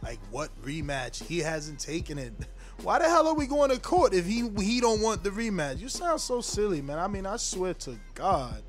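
A man's voice: high voice, sing-song, normal speed, soft background music. A man in his forties hosting a call-in show, brash, profane and teasing with callers. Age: 40s. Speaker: host.

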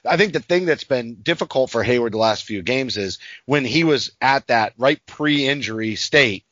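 A man's voice: medium pitch, lively intonation, moderate pace, a bit bright, no echo, lo-fi sound. A man hosting a casual sports podcast, talking in an informal, blunt and opinionated way. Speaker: podcast host.

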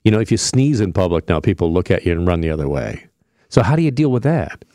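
A man's voice: deep voice, big pitch swings, fast, dark tone, no room sound, studio quality. A man hosting a live news panel, asking questions in a conversational, informal way. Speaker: news host.

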